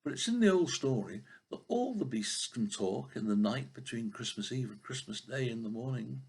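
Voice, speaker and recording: A man's voice: medium pitch, lively intonation, moderate pace, darkish tone, slightly rough. An older man, a grandfather, reading a children's story aloud expressively, now and then stumbling over a word.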